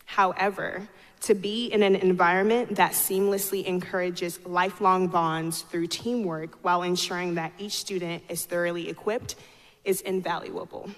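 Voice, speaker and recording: A young woman reads a formal student address from a podium. Her voice is slightly low, and it carries long reverb from the large hall. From Ghana, grew up in North Carolina, United States. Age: 20s. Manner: formal, reading from notes. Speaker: student speaker. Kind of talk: prepared ceremonial speech. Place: large auditorium stage at a podium. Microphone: podium microphone. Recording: echoey auditorium with long reverb.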